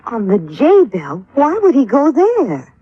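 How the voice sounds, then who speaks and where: An actress playing a puzzled, solicitous young widow in a 1950s radio drama. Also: female voice, on the high side, wildly swooping pitch, takes it slowly, husky, exaggerated voice. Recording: muffled, noisy old radio recording.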